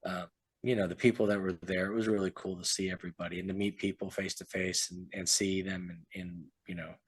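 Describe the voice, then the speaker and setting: A man's voice: low voice, flat delivery, fairly quick, slightly rough, no room sound. A man, an author co-hosting a podcast, chatting casually and rambling, often trailing off with "so". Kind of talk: casual chat.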